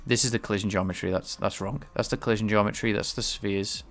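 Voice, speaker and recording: A man's voice: fairly low pitch, fairly even intonation, fast talker, a bit bright. A man thinking aloud while live-coding and explaining his game engine, hesitant and full of restarts, sounding a bit stuffed-up and nasal from a cold. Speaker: game developer streaming his work. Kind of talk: casual live-coding commentary.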